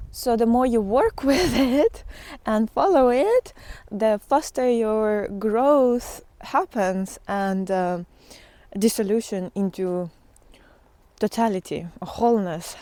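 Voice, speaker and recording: A woman speaks at a slow pace in a medium-pitched voice, with very little room sound. She talks like a spiritual vlogger thinking aloud, casual and unscripted. Her delivery is reflective and halting, with pauses between short phrases. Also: dark tone, no echo.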